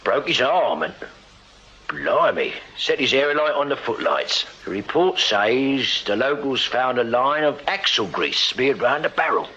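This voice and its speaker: Bright-voiced, dutiful London police constable. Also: male voice, medium pitch, sing-song, a little slow, breathy edge, heavily acted. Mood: reading reports haltingly. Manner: deferential, hesitant when reading aloud. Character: dutiful, plain-spoken London police constable, slightly bumbling. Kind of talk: British period radio drama acting. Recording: slightly dull, hissy radio-drama recording.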